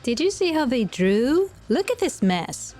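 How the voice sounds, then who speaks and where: A woman's voice: sits high, very animated, a little slow, a little mellow, voice pushed up. A brash flaming sword sentry, voiced by a woman, snarky and full of scorn. It is an audio drama character who exclaims and mocks, with faint music behind. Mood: exasperated.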